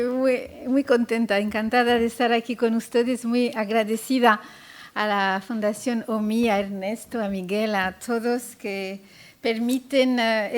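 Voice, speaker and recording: A woman opening a conference talk, hesitant and full of pauses. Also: on the high side. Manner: hesitant, many fillers. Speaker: panel speaker.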